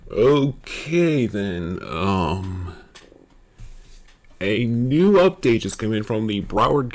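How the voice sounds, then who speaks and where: A man playing a shaken, halting local news anchor in an acted breaking news report, with a gravelly voice. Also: on the low side. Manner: halting, stammering.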